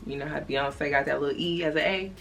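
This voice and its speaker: A woman's voice: low voice, fast talker, vocal fry, a bit bright, slight background noise. A woman, chatting casually to camera as a vlogger, rambling with lots of slang. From New York. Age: 20s.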